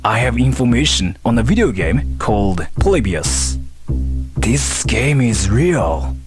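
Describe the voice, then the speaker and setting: A man voicing a grave Japanese game programmer confessing a secret in a scripted voiceover, with a Japanese accent and solemn, deliberate delivery. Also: medium pitch, sing-song, music in the background. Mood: grave, secretive.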